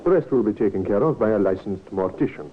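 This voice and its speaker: An actor playing a reassuring, matter-of-fact doctor with a measured professional manner in an old radio drama. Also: male voice, on the low side, animated, natural voice. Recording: tinny, lightly noisy old radio recording.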